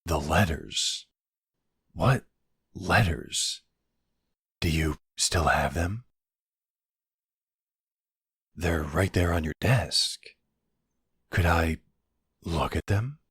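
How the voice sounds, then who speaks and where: Very deep, husky-voiced boyfriend played by a man. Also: no echo, clean studio sound, exaggerated voice. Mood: puzzled, wary. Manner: hesitant, halting, gently questioning. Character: supportive, puzzled boyfriend of a werewolf, adult man. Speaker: audio roleplay performer. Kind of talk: intimate boyfriend roleplay audio acting.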